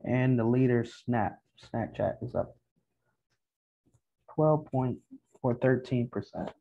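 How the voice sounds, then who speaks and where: A man hosting a casual stock market update, speaking in short, halting, measured phrases. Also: mid-range voice, vocal fry, dark tone. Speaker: stock video host. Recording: dull, muffled lo-fi home recording.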